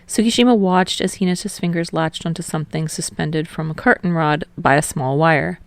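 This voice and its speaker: Fiction narrator: woman, lowish pitch, gravelly fry, scripted storytelling, dry close sound. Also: fairly quick, no echo. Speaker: podfic narrator.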